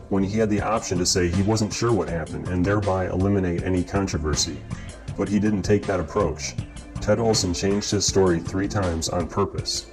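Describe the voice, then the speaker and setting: A man reading scripted documentary narration in a measured, formal way, as the narrator. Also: low voice, rough recording. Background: guitar music.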